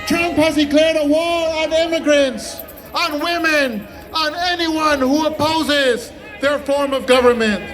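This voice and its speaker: A man giving an impassioned, declamatory protest speech with emphatic pauses. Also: extremely high pitch, noisy background. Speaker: protest speaker, activist. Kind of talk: rally speech. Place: outdoor street protest. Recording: loud rally PA with crowd and music. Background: crowd and music.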